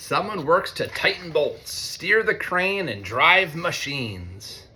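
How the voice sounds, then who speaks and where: An American man, a teacher, reads a picture book aloud to children in an expressive way, with conversational asides. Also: fairly high pitch, slight background noise. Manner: expressive, deliberate when reading, conversational in asides. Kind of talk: read-aloud storytelling with chatty asides to children.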